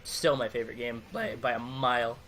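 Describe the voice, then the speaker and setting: A man's voice: medium pitch, brisk. A man co-hosting a podcast, chatting informally and enthusiastically about video games. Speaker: podcast co-host. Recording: music playing behind the talk. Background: background music.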